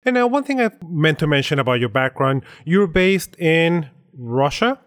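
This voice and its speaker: A man's voice: fairly high pitch, very animated, normal speed. A man hosting an interview podcast, asking his guests questions in a conversational style. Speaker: interview host.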